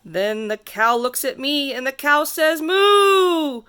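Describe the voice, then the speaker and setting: A woman reading a rhyming picture book aloud to children in a theatrical, sing-song way with drawn-out emphasis. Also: sits high, bright, forceful tone, smooth. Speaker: storybook reader.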